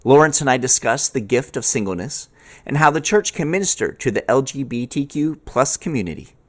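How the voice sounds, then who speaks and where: A man's voice: mid-range voice, lively intonation, fairly quick. A man hosting an interview podcast, presenting introductions and putting questions to a guest. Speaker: podcast host. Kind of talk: scripted introduction, then interview.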